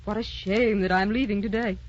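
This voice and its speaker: An actress playing a gentle, wistful woman who stays youthful, with a genteel, guarded manner, in a sentimental radio drama. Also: medium pitch, steady tone, brisk, natural voice. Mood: coy, guarded. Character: secretly ageless young-looking woman, gentle, wistful, guarded. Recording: muffled old broadcast with clear noise.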